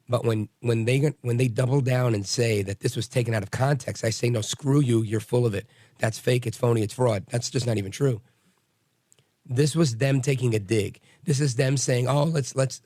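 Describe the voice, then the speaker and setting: Hoarse, raspy male talk radio host. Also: medium pitch, a little mellow, dry recording, studio quality. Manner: blunt, combative, rambling with restarts. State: hoarse from bronchitis. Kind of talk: opinionated talk radio monologue.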